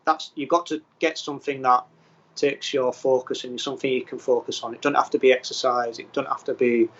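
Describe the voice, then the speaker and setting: English footballer on a dull lo-fi line. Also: male voice, mid-range voice, brisk. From England. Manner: plain, steady, with frequent ums. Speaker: footballer guest. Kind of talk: casual interview answers.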